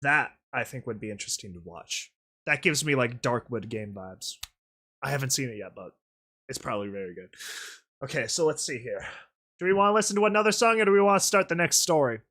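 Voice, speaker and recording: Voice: mid-range voice, lively intonation, a little fast, fairly bright tone. A streamer giving casual, goofy, rambling live reactions while videos and songs are played. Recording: music playing behind the voice. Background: music.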